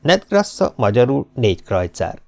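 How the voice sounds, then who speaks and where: A man voicing a bearded, sly Turkish fruit vendor who tirelessly hawks his wares in hoarse, hollow, drawn-out, repeated cries. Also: mid-range voice, big pitch swings, a little mellow. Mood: tireless hawking. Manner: drawn-out, repetitive hawker's cries.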